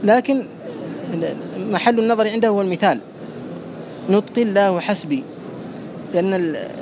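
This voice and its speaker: A man with a notably high voice teaches classical grammar in a steady, methodical way. He repeats each phrase and breaks it down piece by piece. Clear traffic noise runs behind him throughout. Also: normal speed.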